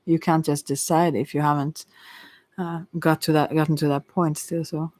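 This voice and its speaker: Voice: mid-range voice, level tone, darkish tone, no room sound. Hesitant tarot reader, close dry sound. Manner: hesitant, rambling delivery with many pauses. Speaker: Ayurveda therapist. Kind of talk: informal ASMR tarot reading.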